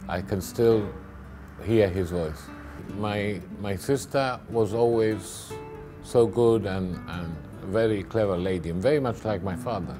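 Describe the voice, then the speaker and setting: A middle-aged man recalling his family business in a documentary interview, halting and repeating words as he goes. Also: on the low side, music in the background.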